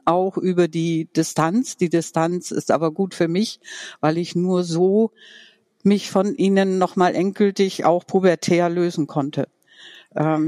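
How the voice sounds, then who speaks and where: Slow, thoughtful woman in her fifties. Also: lowish voice, dark tone, no room sound, clean studio sound. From Franconia, Germany. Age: 50s. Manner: thoughtful, halting, many fillers. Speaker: podcast guest. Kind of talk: personal interview conversation. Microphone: video call.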